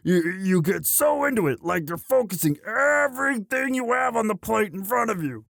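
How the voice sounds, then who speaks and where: A man voicing a bashful, devoted himbo orc boyfriend in a romantic audio roleplay, halting and stammering sheepishly. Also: sits high, lively intonation, gravelly, a bit bright, no room sound, studio quality, heavily acted. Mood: tender, smitten.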